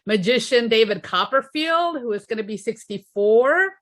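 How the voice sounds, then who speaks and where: A woman's voice: on the high side, animated, moderate pace, fairly bright tone. A woman hosting a podcast, chatting casually over a video call, laughing often and reading from a list. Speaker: podcast host. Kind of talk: casual chat.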